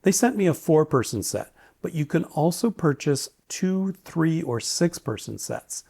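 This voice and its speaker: A man's voice: on the high side, expressive, measured pace, creaky, mellow. A man giving a casual, opinionated, lightly joking product review. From Canada. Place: indoors, home study.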